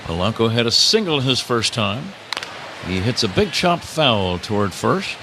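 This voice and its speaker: Animated older man calling baseball. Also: mid-range voice, unhurried. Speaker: play-by-play baseball broadcaster. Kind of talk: live radio sports commentary with casual banter. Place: stadium broadcast booth. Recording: clear ambient ballpark noise behind.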